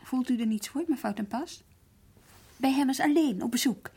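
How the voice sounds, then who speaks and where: A woman playing an earnest, patient social worker in a Dutch radio drama, polite and gently insistent, with a husky voice. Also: high voice, moderate pace. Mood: patient, coaxing.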